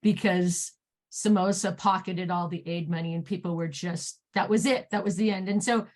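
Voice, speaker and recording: A woman moderating an online panel who is losing her voice, halting and clearing her throat as she speaks. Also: lowish voice, steady tone, darkish tone.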